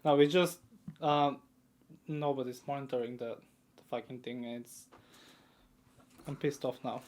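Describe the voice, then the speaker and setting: A young man co-hosting a podcast, speaking in a relaxed, casual way with offhand swearing. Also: mid-range voice, unhurried. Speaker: podcast co-host. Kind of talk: casual chat.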